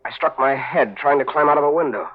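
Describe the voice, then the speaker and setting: An actor playing a despairing, fatalistic amnesiac thief in the dramatic style of 1940s radio. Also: male voice, on the high side, fast talker, close to an everyday voice. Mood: haunted, regretful. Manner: bitter, fatalistic. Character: despairing amnesiac man turned thief, hunted and resigned. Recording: muffled, narrowband 1940s radio recording.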